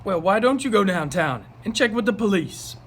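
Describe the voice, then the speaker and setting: A man's voice: sits high, animated, moderate pace, a bit bright, slight background noise. A man playing a folksy, skeptical small-town neighbor in an amateur read-aloud of a television script. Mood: flustered.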